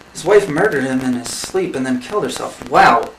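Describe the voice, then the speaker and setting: A man hosting a comedy special, presenting mock fun facts with an awkward, halting manner. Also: medium pitch, expressive, fairly bright tone. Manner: awkward, halting between segments. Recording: echoey room with faint noise.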